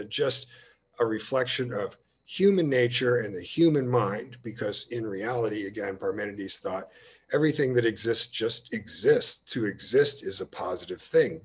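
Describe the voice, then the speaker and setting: Man lecturer, lowish husky voice, thinks aloud, restarts and repeats words. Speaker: humanities lecturer. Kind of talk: recorded slide lecture.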